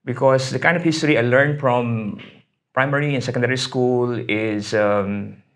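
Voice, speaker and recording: A man's voice: mid-range voice, steady tone, normal speed, fairly bright tone. Hesitant Filipino man, middle-aged academic. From Philippines. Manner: hesitant, many fillers and restarts. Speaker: interview guest, history academic. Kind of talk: conversational interview.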